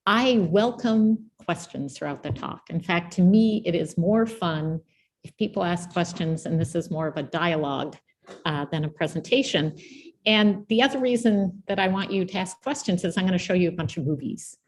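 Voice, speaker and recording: A soft-spoken middle-aged woman with a quiet voice, giving a friendly, informal public science talk as guest speaker. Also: lowish voice, moderate pace, rough recording.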